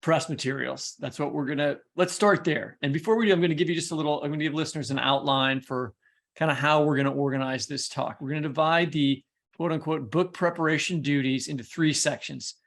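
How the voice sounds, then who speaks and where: A man with heavy vocal fry and a slightly raised pitch hosts a casual podcast. He talks in a loose, rambling way, often correcting himself, and likes to tease. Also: fairly even intonation, brisk, a bit bright. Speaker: podcast co-host and interviewer. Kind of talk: casual podcast conversation between colleagues.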